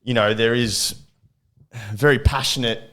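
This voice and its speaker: A man's voice: mid-range voice, fairly even intonation, a little slow, a bit bright. A man hosting a podcast who asks long, rambling questions full of fillers. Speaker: podcast host. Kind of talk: casual podcast interview.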